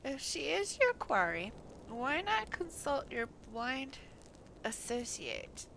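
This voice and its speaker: A woman's voice: high voice, wildly swooping pitch, slow pace, vocal fry, a bit bright, slight background noise, exaggerated voice, rough recording. A woman voicing a fussy, refined game character in a formal, haughty, precise manner. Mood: haughty, disdainful. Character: fussy, refined connoisseur with a keen nose. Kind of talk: game dialogue read aloud in character.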